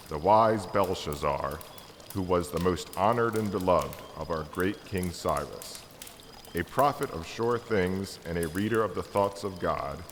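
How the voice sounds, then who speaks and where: A devout ancient stargazer played by a man declaims in a very low voice, solemn and full of earnest hope. It is a dramatic story reading, heard in a reverberant hall with some noise. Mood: solemn, prophetic. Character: Artaban, devout, hopeful Persian Magus and stargazer. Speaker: amateur church reader.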